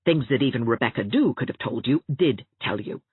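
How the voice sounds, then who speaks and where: A narrator voicing a sensible young woman who speaks in a brisk, dryly scolding way, with a tinny, dry sound. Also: mid-range voice, lively intonation, breathy edge, no room sound. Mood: self-scolding.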